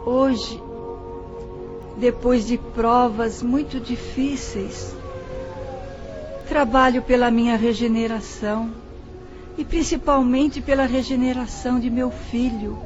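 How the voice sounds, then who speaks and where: A woman's voice: a little high, steady tone, slow pace, long pauses. An actress playing the humble, sorrowful spirit of a dead mother, making a solemn, tearfully moved confession in an audio drama. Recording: dull lo-fi drama track with music.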